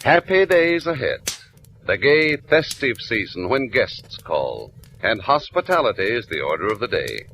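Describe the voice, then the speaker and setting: An American man announcing a 1940s radio commercial with a polished, measured sales delivery. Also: fairly low pitch, sing-song, a bit bright. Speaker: radio announcer reading sponsor ad. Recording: noisy vintage 1940s radio recording.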